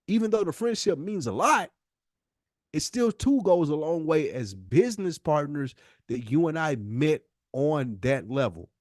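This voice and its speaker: A man's voice: a little high, sing-song, measured pace, darkish tone, dry recording, studio quality. An American man co-hosting a podcast in a candid heart-to-heart, speaking earnestly and emphatically and repeating himself to make his point. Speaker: podcast co-host.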